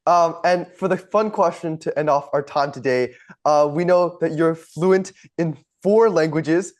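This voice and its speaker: A man's voice: fairly high pitch, a little slow. A young man hosting an interview, asking prepared questions in a polite, earnest way. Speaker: interviewer, podcast host.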